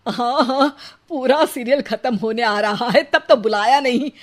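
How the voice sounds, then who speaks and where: A woman's voice: on the high side, a little slow, lightly acted. A woman voicing a nagging, quarrelsome mother-in-law in a cartoon, scolding and sarcastic. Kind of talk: cartoon voice acting.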